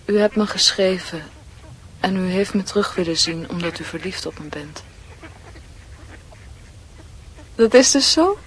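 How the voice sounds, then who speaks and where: A woman's voice: mid-range voice, expressive, normal speed, close to an everyday voice. Forthright young woman played by an actress, noisy recording. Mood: bold, teasing. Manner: blunt, teasing, insistent. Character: forthright young woman pressing her suitor into engagement. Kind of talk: Dutch radio drama acting. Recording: radio play with clear background noise.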